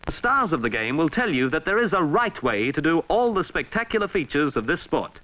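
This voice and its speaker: A man with an Australian accent reading a scripted 1960s instructional film narration in a formal, measured, emphatic way, on a vintage film soundtrack. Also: a little high, very animated, highs cut off.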